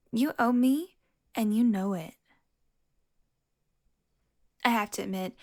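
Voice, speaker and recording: A long-lived female vampire, acted by a woman, speaks in a lightly high-pitched voice. She is sardonic and foul-mouthed, with a flirtatious, teasing edge. The delivery is an intimate audio roleplay monologue aimed straight at the listener. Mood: smug, teasing. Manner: sardonic, teasing, breathy asides.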